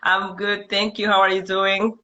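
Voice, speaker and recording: A woman's voice: medium pitch, level tone, normal speed, sharp tone, muffled recording. A woman with a Rwandan accent, a mental health professional explaining things conversationally as a guest. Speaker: psychiatric nurse practitioner, guest.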